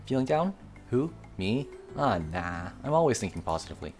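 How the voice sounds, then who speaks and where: A man's voice: mid-range voice, big pitch swings, normal speed, darkish tone, faint music behind. A man voicing an upbeat, confident young woman who is a student club president in a visual novel. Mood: feigned cheer.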